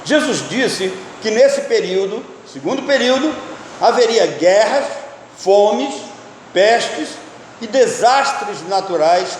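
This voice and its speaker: High-voiced preacher in an echoing hall. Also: male voice, a little hiss or hum. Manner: teaching, asks the listeners questions. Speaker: pastor and Bible teacher. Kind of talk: sermon. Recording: echoey hall with long reverb.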